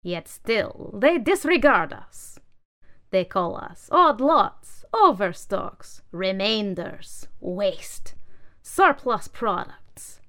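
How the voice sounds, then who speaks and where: A woman's voice: mid-range voice, big pitch swings, drawn-out words, a bit bright, full character voice. A woman voicing a pompous male political manifesto, a torn book rousing a crowd of junk. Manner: orating, declaiming.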